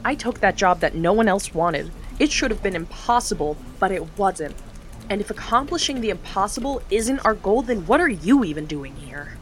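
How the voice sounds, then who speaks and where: A woman's voice: medium pitch, expressive, normal speed, natural voice. An actress playing a determined young woman working at a charity, in an audio drama. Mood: defiant. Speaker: charity coordinator Meredith Dare.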